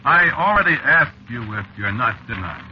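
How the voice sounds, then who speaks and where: An actor playing a talkative, uneasy American cab driver with slangy, ungrammatical speech in an old radio drama. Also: male voice, medium pitch, very animated, moderate pace, lightly acted. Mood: puzzled, wary. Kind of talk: old-time radio drama acting, first-person narration and dialogue. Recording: muffled, noisy vintage radio recording.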